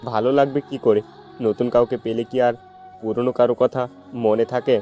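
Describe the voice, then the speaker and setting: A dark, mellow male voice a man acting plays a jilted, heartbroken young lover. The lines are melodramatic love-story dialogue over a faint music bed. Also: medium pitch, steady tone, moderate pace, natural voice. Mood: heartbroken, bitter. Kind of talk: amateur audio love-story drama.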